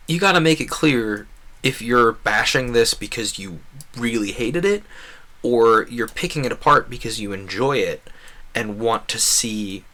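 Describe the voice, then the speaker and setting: A man's voice: mid-range voice, pushed and bright. A man hosting a gaming livestream, rambling casually and earnestly, giving opinions and acting out imagined quotes. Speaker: livestream host.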